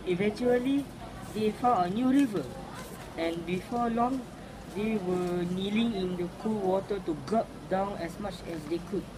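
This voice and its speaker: A woman's voice: medium pitch, a little slow. A woman reading a folk tale aloud. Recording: clearly noisy recording with ambient sound.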